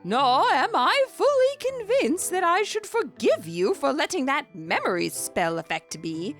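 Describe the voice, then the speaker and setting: Woman as stern cookie with swooping pitch. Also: sing-song, a little slow, a bit bright, soft background music, full character voice. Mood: reproachful. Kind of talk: scripted fan audio drama acting.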